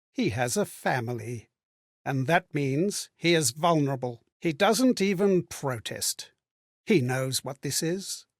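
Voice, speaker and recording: Melancholy, higher-pitched lost spirit. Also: male voice, no room sound, studio quality. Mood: somber. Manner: measured, theatrical, reflective delivery. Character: melancholy, courteous lost male spirit reliving strangers' memories. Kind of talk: dramatic video game monologue.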